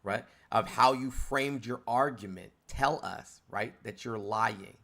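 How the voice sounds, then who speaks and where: A man's voice: mid-range voice, takes it slowly, vocal fry, fairly bright tone. A man in his forties hosting a heated live-stream debate, lecturing a caller in a condescending way with many restarts. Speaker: host.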